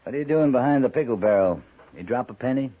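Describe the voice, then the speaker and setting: A man's voice: medium pitch, expressive, fast, lightly acted. A man playing a plain-spoken, patient cavalry captain in a 1950s western radio drama, dry and matter-of-fact, with an American accent. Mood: dry, puzzled. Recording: muffled vintage 1950s radio recording.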